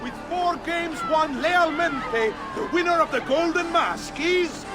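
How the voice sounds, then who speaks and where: A booming Venetian carnival announcer. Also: male voice, takes it slowly. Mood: grandiose. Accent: Italian. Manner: showy, booming crowd-rousing patter. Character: boisterous Venetian carnival game announcer man. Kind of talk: video game cutscene acting. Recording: loud festival crowd and music behind. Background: festival crowd and music.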